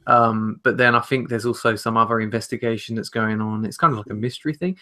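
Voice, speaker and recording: A young man co-hosting a podcast, talking informally and haltingly about video games. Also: medium pitch, steady tone, bright, forceful tone. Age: young adult. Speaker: podcast co-host. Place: small room at home.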